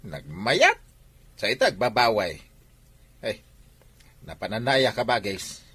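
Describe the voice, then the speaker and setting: An actor playing an impatient, exclamatory adult son in a radio family melodrama. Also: male voice, on the low side, very animated, slow, sharp tone, slightly rough, dry recording, exaggerated voice. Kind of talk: radio drama acting. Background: dramatic music.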